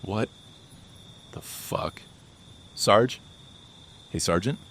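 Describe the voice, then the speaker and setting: A man's voice: lowish voice, lively intonation, drawn-out words, fairly bright tone, a little hiss or hum, full character voice. A man voicing a grumbling soldier stuck on watch in an audio comic. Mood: grumbling, uneasy. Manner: grumbling, muttered. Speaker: voice actor. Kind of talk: audio comic dub, dramatic dialogue.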